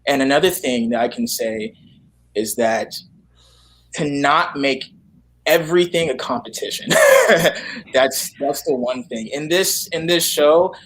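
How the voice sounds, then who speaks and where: A man giving a casual, earnest interview answer, halting and circling back over his phrases. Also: fairly high pitch, huge pitch swings, a bit bright, low-quality recording. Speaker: guest, actor.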